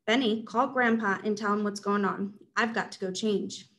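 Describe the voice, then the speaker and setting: A woman's voice: mid-range voice, steady tone, moderate pace, fairly bright tone, lightly acted. A woman voicing a heroic, slightly comical superhero dad in a storybook, formal and commanding.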